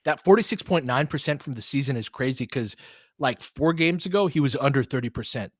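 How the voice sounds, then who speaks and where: A young man doing live play-by-play commentary, excitable, with quick asides and frequent laughs. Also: medium pitch, no echo, clean studio sound. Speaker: fan play-by-play host.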